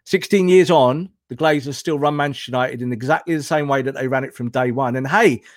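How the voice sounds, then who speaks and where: A man's voice: mid-range voice, a little fast, dry recording, clean studio sound. A man talking as a football pundit in an impassioned, opinionated discussion, emphatic and full of rhetorical questions and repetition.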